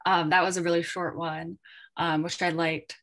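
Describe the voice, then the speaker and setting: A woman with a voice a little lower than average speaks as a guest editor on a panel. Her delivery is conversational and reflective, with tentative, informal phrasing as she works through her thoughts. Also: level tone, moderate pace, fairly bright tone.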